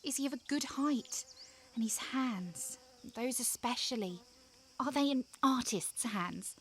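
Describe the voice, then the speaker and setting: A woman's voice: fairly high pitch, lively intonation, a little slow, husky. A young actress in an audio drama playing an eager, innocent and imperious noblewoman, speaking in urgent commands and questions. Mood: urgent, demanding.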